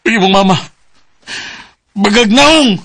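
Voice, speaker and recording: A man playing a middle-aged father who shouts and accuses after learning of his wife's betrayal, in a radio drama. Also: high-pitched, takes it slowly, full character voice. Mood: distraught.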